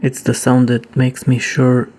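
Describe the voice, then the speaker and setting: A man narrating a hands-on repair in a casual, halting way, thinking aloud as he works. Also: mid-range voice, monotone, a little mellow. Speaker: host demonstrating a cassette repair.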